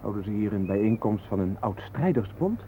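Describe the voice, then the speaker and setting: A man's voice: on the low side, expressive, brisk, slightly rough. An actor playing a puzzled, skeptical man in a radio play, questioning with incredulity. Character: skeptical, puzzled male companion named Ben, questioning everything. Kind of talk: Dutch radio play acting. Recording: noisy, narrow-band old radio recording.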